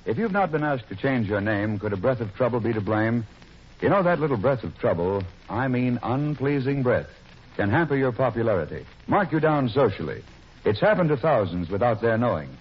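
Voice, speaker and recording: A man's voice: mid-range voice, very animated, a little fast, breathy edge. A man announcing a scripted 1940s radio commercial in a formal, emphatic manner. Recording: muffled 1940s radio recording.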